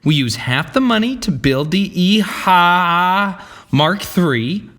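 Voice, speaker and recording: A man playing an excitable, hot-headed, foul-mouthed character in comedy audio sketches, with an emphatic, dramatic delivery. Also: sits high, slow pace, exaggerated voice. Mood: cocky, persuasive.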